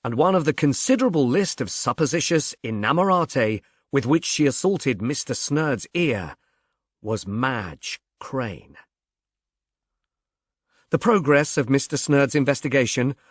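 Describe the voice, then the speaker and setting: A man reading literary narration in a measured, formal, gently wry manner. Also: mid-range voice, expressive, no room sound, clean studio sound. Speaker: audiobook narrator.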